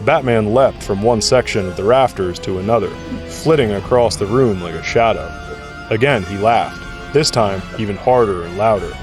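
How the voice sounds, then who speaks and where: A man's voice: fairly low pitch, moderate pace. A man reading scripted dramatic narration for an audio drama. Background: music.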